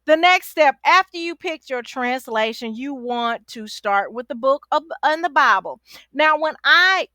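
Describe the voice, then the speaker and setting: A woman with a forceful, high voice teaches like a chatty life coach. She urges the listener on with repeated phrases and a sassy, familiar tone. The sound is close and dry. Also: expressive, a little slow, no room sound. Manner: exhorting, repeats phrases for emphasis; sassy, familiar, uses endearments like baby and honey. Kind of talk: casual, chatty Bible study teaching to camera.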